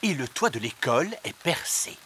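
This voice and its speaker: A man narrating a cartoon in a clear, storybook way. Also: mid-range voice, big pitch swings, normal speed, slight background noise. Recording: loud background music.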